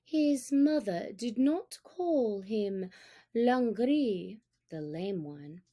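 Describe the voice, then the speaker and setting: A woman voicing a quiet, knowing mother wolf in a storybook, measured and dryly disapproving. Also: mid-range voice, expressive. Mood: quiet concern.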